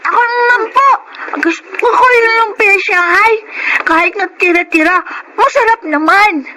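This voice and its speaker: A woman's voice: extremely high pitch, unhurried, bright, forceful tone, a little hiss or hum, heavily acted. A woman with a Filipino accent voicing a poor, hungry, affectionate young child in a radio drama. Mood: eager.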